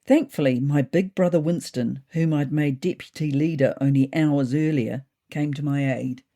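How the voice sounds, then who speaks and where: A narrator reading a scripted first-person story in an expressive storytelling manner. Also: mid-range voice, moderate pace.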